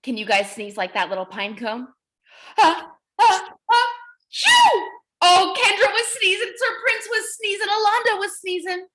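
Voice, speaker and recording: A woman reading aloud to young children as their teacher, encouraging and exaggerated, pausing to ask questions. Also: very high voice, animated, bright, forceful tone.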